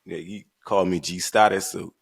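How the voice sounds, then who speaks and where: A man from the Bahamas with a Bahamian accent, co-hosting a podcast and telling his story in a relaxed, laid-back way. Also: low-pitched, normal speed, creaky, breathy edge. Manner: relaxed, laid-back storytelling with frequent "right" and "you know". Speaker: podcast co-host. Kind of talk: casual chat.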